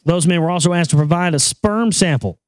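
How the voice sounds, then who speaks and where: A man with a high voice hosting a casual talk show, joking crudely and rambling over news items. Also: fairly quick, dry recording. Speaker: show host.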